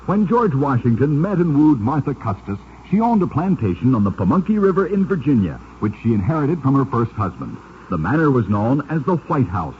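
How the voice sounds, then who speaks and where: Animated man narrating, vintage radio sound. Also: medium pitch, a little fast. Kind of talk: scripted old-time radio narration of curiosities. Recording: dull, noisy vintage radio recording.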